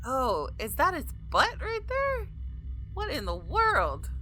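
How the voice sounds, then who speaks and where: A woman doing a let's play, reading a visual novel aloud in an expressive way and exclaiming as she reacts. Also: high-pitched, slow pace, soft background music, rough recording. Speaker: gaming video creator.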